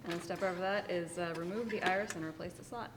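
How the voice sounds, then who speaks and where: A woman's voice: on the low side, fairly even intonation, quick pace. A young adult woman, a student reading written instructions aloud step by step. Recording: echoey hall, clear background noise.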